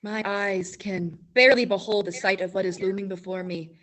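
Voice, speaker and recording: A woman's voice: medium pitch, steady tone, normal speed, a bit bright, natural voice. A teenage girl playing a grandiose war correspondent in a school radio play, narrating battle scenes in lofty broadcaster style. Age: teens.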